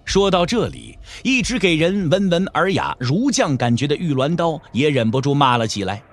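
A male narrator tells a martial-arts story in a dramatic, scripted style. His voice sits a little high and moves in lively swings of pitch. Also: normal speed, faint music behind.

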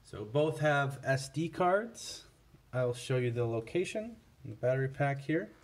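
A man with a mid-pitched voice walks through a product comparison at a slow pace. His delivery is casual and unscripted, a little halting, and matter-of-fact, like a seller showing gear on a tabletop. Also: expressive, background noise. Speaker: product reviewer for a scanner seller.

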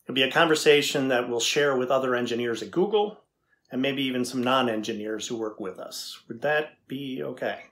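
A curious, middle-aged tech engineer played by a man in a scripted dramatization. He has an average-pitched voice and asks questions in a matter-of-fact, probing way, with a skeptical edge. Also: a little fast, a bit bright, close to an everyday voice. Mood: polite, businesslike. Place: indoors, plain backdrop.

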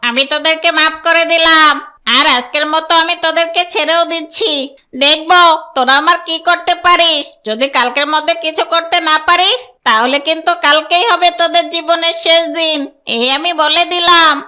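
Woman voicing a very high-pitched, boastful cartoon fox. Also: steady tone, moderate pace, bright, forceful tone, full character voice. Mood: mocking. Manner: haughty, threatening, exclamatory. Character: boastful, bullying fox who fancies himself a scholar. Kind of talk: Bengali children's cartoon voice acting.

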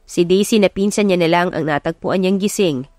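A woman's voice: lowish voice, a little fast, a little mellow, no room sound, soft background music. Filipino woman narrating, dry sound. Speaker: audiobook narrator. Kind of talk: scripted dramatic story narration. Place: close, dry recording with music behind.

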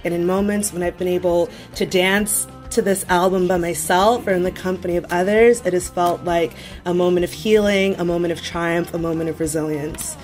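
A woman's voice: lowish voice, fairly even intonation, fairly quick, hardly any pauses, darkish tone. A woman on a radio panel, talking about music in a thoughtful, articulate way. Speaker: guest music critic.

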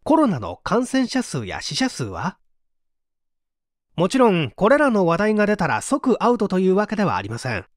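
A narrator reads a nonfiction book aloud, explaining point by point. The delivery is scripted and clearly articulated, with lively swings in pitch. The recording is dry and close, with no room sound. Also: moderate pace, no echo. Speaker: audiobook narrator.

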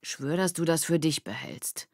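A woman's voice: low-pitched, a little slow, darkish tone, dry recording, studio quality. A woman voicing a casual boy confiding in a friend in a children's audiobook. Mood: confiding, amused. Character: boy confiding embarrassing sports story to friend.

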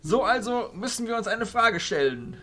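A man playing an old, courteous illusionist arch-chancellor with a ceremonious, deliberate manner in a fantasy audio drama. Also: high-pitched, expressive, pushed and bright, faint music behind. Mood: solemn, explaining.

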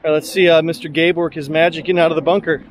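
Upbeat high-voiced golfer man. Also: flat delivery, very fast, a little hiss or hum. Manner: upbeat, exclaiming. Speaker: golf vlogger. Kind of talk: casual on-course banter. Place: outdoors on a golf course.

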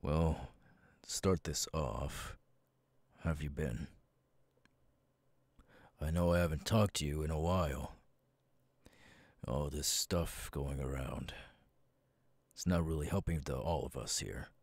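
A man voicing a stern, weary, guarded military commander in an ASMR audio roleplay, husky and brooding. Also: extremely low pitch, takes it slowly, pauses often, darkish tone, no echo, full character voice. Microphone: close, dry microphone.

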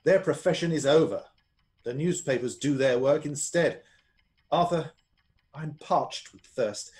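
An actor playing an earnest, despairing gentleman husband in a melodramatic radio play. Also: a little high, a little slow, close to an everyday voice. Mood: grave.

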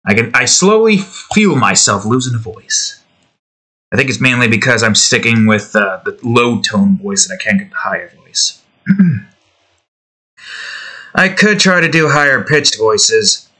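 A man's voice: mid-range voice, lively intonation, unhurried, sharp tone. A young man giving rambling, casual commentary to himself while drawing, now and then testing out voices, recorded on a desk mic in a small room at home. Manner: rambling, self-talk, trying out voices. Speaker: art video creator.

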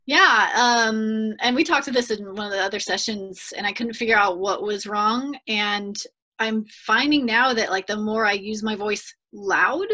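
A woman's voice: medium pitch, a little slow, sharp tone. A woman joining a video call, explaining her situation earnestly. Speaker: caller. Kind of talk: casual chat.

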